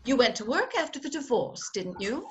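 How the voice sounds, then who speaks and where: Woman playing a high-voiced, haughty society bride. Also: sing-song, moderate pace, lo-fi sound. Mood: indignant, cutting. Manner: imperious, cutting, theatrical. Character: haughty, sharp-tongued society bride, indignant and proud. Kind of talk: 1940s radio comedy acting.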